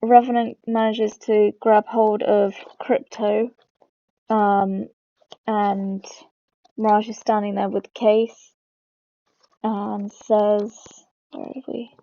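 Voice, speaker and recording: A woman's voice: mid-range voice, little pitch movement, takes it slowly, gravelly, pauses often, dark tone, no room sound. A woman hosting a game lore video, explaining it informally as she goes. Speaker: host of a game lore channel. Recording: dull lo-fi close mic.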